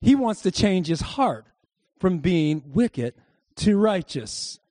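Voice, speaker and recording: A male pastor preaching to his congregation with a high, ringing voice. His delivery is emphatic, and he keeps prompting the crowd to respond. The sound is close and dry, with almost no room echo. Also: very animated, slow pace, mellow. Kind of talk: sermon. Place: church service before a congregation.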